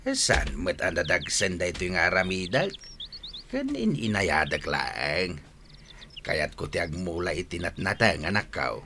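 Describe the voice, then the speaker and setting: Forceful, rueful old Ilocano father. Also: deep voice, big pitch swings, unhurried, slight background noise, full character voice. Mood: cheerful. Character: repentant old father who likes his drink, earnest and rueful. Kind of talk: radio drama acting.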